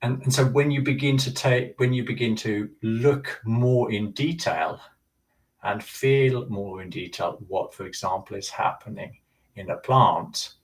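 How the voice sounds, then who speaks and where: A man's voice: on the low side, measured pace, far from the mic, poor audio. A man earnestly explaining ideas at length in a casual talk, often restarting his sentences. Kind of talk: casual discussion.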